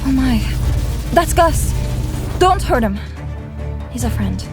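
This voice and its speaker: A woman as a high-voiced earnest young adventurer. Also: very animated, takes it slowly, over music, heavily acted. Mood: urgent. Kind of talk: fantasy audio drama acting.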